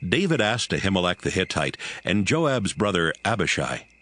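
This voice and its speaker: A man narrating a scripted audio Bible as a story, in a measured storytelling manner. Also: sits low, big pitch swings, sharp tone.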